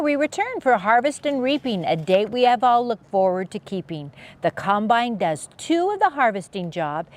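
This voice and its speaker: Slow, very animated woman storyteller for children. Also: mid-range voice. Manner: expressive sing-song rhyming delivery with playful sound effects. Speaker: children's story reader, channel host. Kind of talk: read-aloud storytime for young children.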